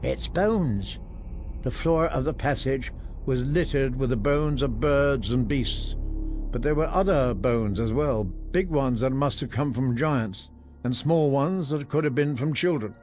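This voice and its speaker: A man reading a fantasy story in audiobook style, with measured storytelling delivery. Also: mid-range voice. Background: soft music.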